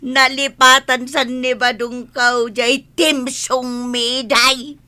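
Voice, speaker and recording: A woman playing an aggrieved, plaintive village wife in an Ilocano comedy radio drama, complaining in a drawn-out, dramatic way. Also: fairly high pitch, animated, bright, forceful tone. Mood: tearful complaint.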